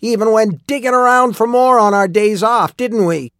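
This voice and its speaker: Man acting a furious old miner, high-pitched and accusing. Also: moderate pace, no room sound, clean studio sound. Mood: bitter, furious. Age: older. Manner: shouting accusations, emphatic. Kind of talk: audiobook character acting.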